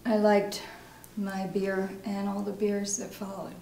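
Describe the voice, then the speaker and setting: In a roomy, echoing space, an actress plays a recovering alcoholic mother making her confession. She is halting, sombre and weighed down by guilt, in a dramatic stage monologue with faint noise behind it. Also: mid-range voice, completely flat delivery, dark tone. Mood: subdued, ashamed.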